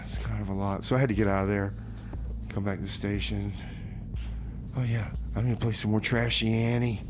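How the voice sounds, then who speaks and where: A man hosting a music radio show, rambling casually in a folksy, halting way full of exclamations. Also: fairly low pitch, flat delivery, background noise. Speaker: radio host.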